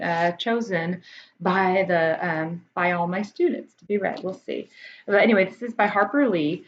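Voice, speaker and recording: An American woman chatting informally to camera like a teacher presenting books, hesitating and thinking aloud as she explains. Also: lowish voice. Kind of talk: casual explanatory chat to camera. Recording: dull muffled audio, background room noise.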